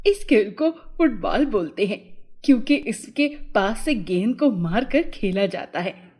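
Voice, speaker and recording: Friendly storybook boy played by a woman: helpful, forthright, slow delivery, pitched under a narrator's register. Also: expressive, darkish tone, breathy edge.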